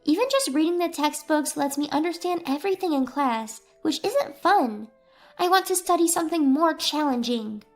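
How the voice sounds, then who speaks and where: Woman voicing a high-pitched smug schoolboy. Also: animated, normal speed, faint music behind. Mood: smug, bored. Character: smug, bright young schoolboy, bored by easy studies. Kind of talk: storybook character dialogue.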